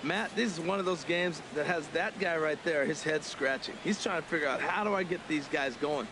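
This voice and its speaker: A man's voice: on the high side, brisk, close to an everyday voice. A man playing a folksy, opinionated baseball color commentator in a video game, analysing the play conversationally. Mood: musing, critical. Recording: clear stadium noise behind voice.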